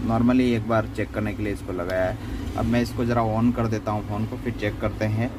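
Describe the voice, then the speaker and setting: Man explaining over clear background noise. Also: on the low side, little pitch movement, brisk. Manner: explanatory, conversational, repeats himself. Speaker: phone repair tutorial host. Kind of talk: unscripted step-by-step repair demonstration.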